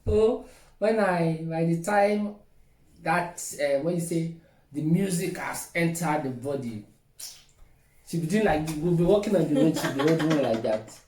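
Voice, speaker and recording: A man's voice: a little high, unhurried, a little mellow. A middle-aged man with a Nigerian accent, playfully teasing as he tells stories in casual chat. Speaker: co-host of a couple's relationship vlog. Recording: echoey room, distant microphone.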